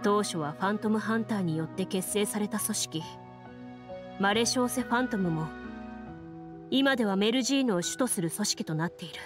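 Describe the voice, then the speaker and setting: A woman playing a composed, serious young duelist who tells lore in a measured, matter-of-fact way for a video game. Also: medium pitch, over music, close to an everyday voice. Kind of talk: scripted game dialogue and storytelling.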